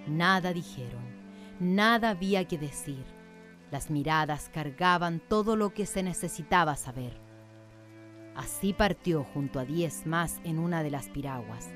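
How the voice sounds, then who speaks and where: A woman with a rather low voice narrates a radio drama. Her delivery is scripted, literary and solemn, like a storyteller's, and music plays clearly behind her.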